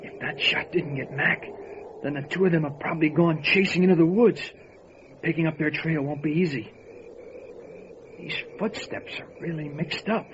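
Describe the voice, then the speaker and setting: A man's voice: fairly high pitch, normal speed, close to an everyday voice. An actor playing an alert, urgent lawman in an old-time radio horror drama, with a dramatic, clipped delivery. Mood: shaken. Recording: noisy, dull lo-fi radio recording.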